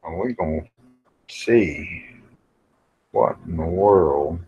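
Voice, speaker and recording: A man's voice: low-pitched, slow pace, darkish tone, slight background noise. A man, hosting a casual, rambling livestream in a folksy, muttering way on dull, lo-fi audio. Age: 50s. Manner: folksy, muttering to himself while browsing. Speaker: livestream host. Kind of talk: casual rambling live chat.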